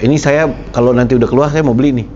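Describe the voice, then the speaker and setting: A man's voice: medium pitch, steady tone, racing, mellow, muffled recording. A man hosting a podcast in a casual interview style, chatty and often chiming in with agreement. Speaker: podcast host. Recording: clearly noisy background.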